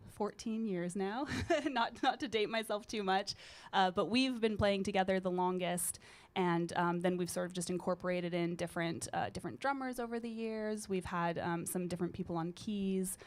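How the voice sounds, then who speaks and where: A woman in her thirties talks easily into a studio mic, her voice sitting low with a gritty grain to it. She rambles comfortably, with lots of little ums and uhs, like a musician relaxed in conversation. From British Columbia, Canada. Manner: relaxed, rambling, with frequent fillers. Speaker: singer-songwriter guest. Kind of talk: casual interview chat. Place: college radio studio. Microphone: studio microphone.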